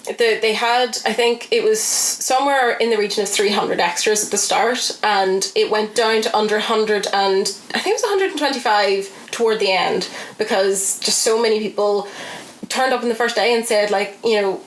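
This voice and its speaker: A woman's voice: medium pitch, normal speed. A woman telling a casual story as a podcast guest, acting out reactions and quotes as she goes. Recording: roomy echo with faint noise.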